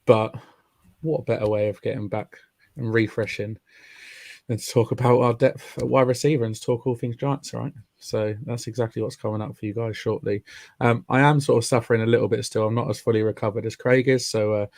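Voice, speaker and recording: A man hosting a podcast in casual chat, raspy from a chest infection. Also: medium pitch, fairly even intonation, fairly quick, a little mellow, no room sound. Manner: chatty, friendly, many ums. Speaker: podcast host. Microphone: remote call mic.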